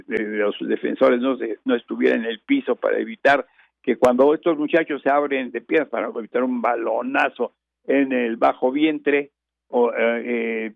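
Older Mexican radio host, Mexican Spanish accent, very gravelly, rambling with restarts, tinny phone-line sound. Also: male voice, medium pitch, no room sound. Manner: digressive, many fillers and restarts. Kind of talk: rambling radio chat and reminiscence.

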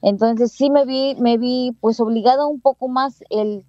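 A woman schoolteacher from Mexico speaking with a Mexican Spanish accent. Her voice sounds mellow and dark, and she pauses often with fillers and restarts as she recalls her experience. The recording is dry and somewhat muffled. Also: a little high, fairly even intonation, no echo. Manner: hesitant, many fillers and restarts. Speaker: bilingual schoolteacher. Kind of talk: interview storytelling.

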